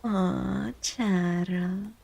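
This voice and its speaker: A woman from the United States chatting softly and casually in an ASMR style, with a gentle, encouraging manner, as a streamer talking to viewers. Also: mid-range voice, fairly even intonation, extremely slow, vocal fry. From United States, born to Mexican parents. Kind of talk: soft-spoken ASMR casual chat. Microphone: close, dry microphone.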